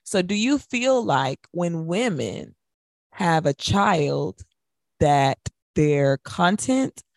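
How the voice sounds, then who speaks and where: A woman with a fairly low voice co-hosts a casual radio talk show. She speaks conversationally and puts her opinions forward. The sound is dry, with no room around it. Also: lively intonation, very slow, darkish tone, no room sound. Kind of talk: casual chat.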